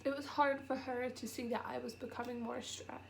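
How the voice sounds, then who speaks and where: A young woman speaks into her camera at home, stuffy and sniffly from a cold, and close to tears. Her voice sits a little high, and she talks softly, pausing often and trailing off. A faint hiss runs under the recording. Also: flat delivery, creaky. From United Kingdom. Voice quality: sniffly, nasal. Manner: halting and soft-spoken, with many pauses and fillers. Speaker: vlogger. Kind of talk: emotional personal vlog.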